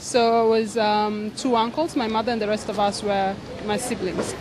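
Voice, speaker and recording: A woman who grew up in Kenya giving a matter-of-fact personal account with small pauses. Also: medium pitch, flat delivery, a crowd in the background, poor audio. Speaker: interviewee.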